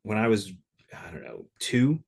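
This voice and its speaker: A man from Connecticut, a guest telling stories about his life in a relaxed, conversational way, with many casual fillers. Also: on the low side, a little slow, creaky, husky. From Connecticut, USA. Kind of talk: casual interview storytelling.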